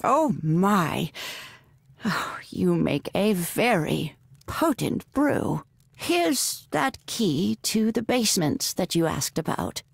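A woman playing a feisty but kindly elderly witch grandmother in a video game, with a folksy, wry, unhurried manner. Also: fairly low pitch, sing-song, vocal fry, breathy edge, no room sound, clean studio sound, exaggerated voice. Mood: gentle, folksy.

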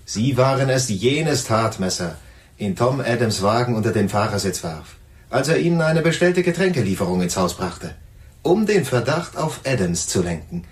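An actor playing a pointed, accusing police sergeant in a German crime radio play, probing, direct and formal. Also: male voice, mid-range voice, sing-song, normal speed, lightly acted.

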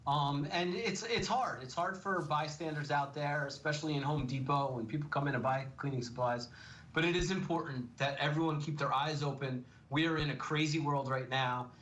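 A man's voice: fairly high pitch, normal speed, a bit bright, rough recording. Earnest middle-aged male defense attorney. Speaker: criminal defense attorney, guest. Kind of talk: TV legal commentary.

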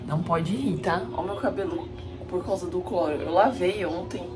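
A woman's voice: on the low side, moderate pace, music in the background. A woman in her thirties chatting casually to viewers while filming herself in a hotel room. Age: 30s. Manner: chatty, exclaiming, addresses viewers. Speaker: vlogger. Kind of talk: casual vlog chat to camera. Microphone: handheld camera.

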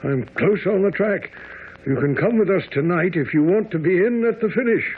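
High-voiced, flustered gentleman. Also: lively intonation, moderate pace, slightly rough. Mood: genial, flustered. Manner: hesitant, stammering, chuckling. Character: genial, flustered gentleman, detective's loyal friend. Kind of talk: radio drama acting. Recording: tinny radio broadcast, faint noise.

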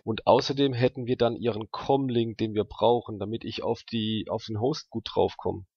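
A man improvising casual, slangy in-character dialogue as a tabletop role-player, haltingly thinking aloud. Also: fairly low pitch, fairly even intonation, husky, no echo. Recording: dry, slightly dull sound.

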